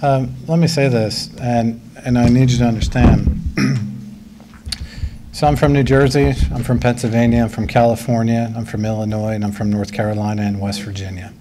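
A middle-aged school official answering the public in a calm, measured, courteous way at a meeting. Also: male voice, mid-range voice, background noise. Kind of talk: formal reply at a public meeting. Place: large school meeting room. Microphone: table mic close in front.

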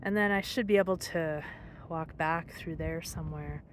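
A woman's voice: deep voice, moderate pace. A middle-aged woman chatting casually and musingly to her phone as she films a hiking vlog outdoors. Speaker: hiking vlogger. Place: outdoors on hillside forest trails. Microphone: handheld phone at arm's length. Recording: outdoor phone audio with background noise.

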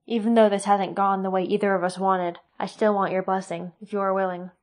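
A woman's voice: mid-range voice, flat delivery, fairly quick, lightly acted. A woman voicing a resolute young man who declares himself firmly and earnestly.

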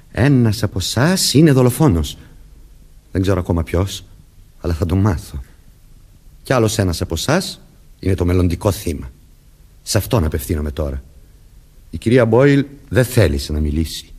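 An actor playing a stern, commanding young police detective questioning people deliberately in a Greek radio play. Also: male voice, low voice, a little mellow, slightly rough, full character voice.

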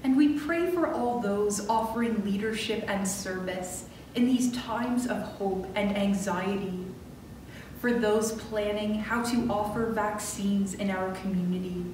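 A middle-aged woman minister leading a scripted prayer in a measured, solemn way, phrase by phrase, from a church pulpit. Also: medium pitch, darkish tone. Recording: roomy distant church recording with clear noise.

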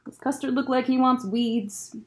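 A woman's voice: high voice, fairly even intonation, normal speed, a little hiss or hum. A young woman hosting a children's story time, reading a picture book aloud expressively with casual asides. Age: young adult. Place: library room lined with bookshelves, indoors.

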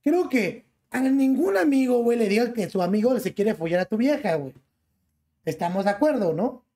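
A man's voice: extremely high pitch, animated, normal speed, dark tone. A young man with a Mexican accent, streaming from his bedroom through a gaming headset and ranting as he reacts to clips, piling slang onto every phrase. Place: small bedroom.

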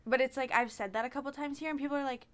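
A woman's voice: high voice, steady tone, rapid-fire, clean tone. A young adult woman, chatting casually on a podcast.

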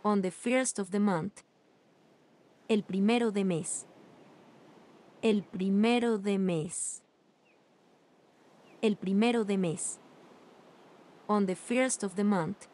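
A woman reading Spanish and English phrases slowly, one at a time, for language learners. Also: medium pitch, a little mellow. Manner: reads each phrase slowly with long pauses. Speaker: language teacher. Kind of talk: slow repeated phrase drills.

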